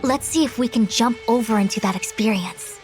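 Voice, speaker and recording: Self-assured female oracle for an anime game , played by a woman: higher register, authoritative, exact diction, over clear music. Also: fairly quick, close to an everyday voice. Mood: measured, explanatory. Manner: formal, precise, authoritative. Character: composed, lofty female sage, commanding and self-assured. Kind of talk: anime-style game voice acting.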